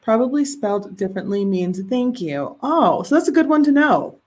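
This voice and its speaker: A woman's voice: on the high side, sing-song, moderate pace. A woman hosting a live writing sprint, chatting casually and reading viewer comments aloud with easy laughs. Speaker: livestream host of writing sprints.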